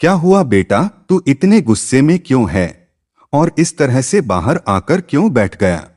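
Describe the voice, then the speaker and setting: A man's voice: medium pitch, big pitch swings, measured pace, darkish tone, pitched above the performer's own voice. A man voicing a caring middle-aged mother who coaxes and reassures in a narrated moral story. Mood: concerned, coaxing. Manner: coaxing, reassuring.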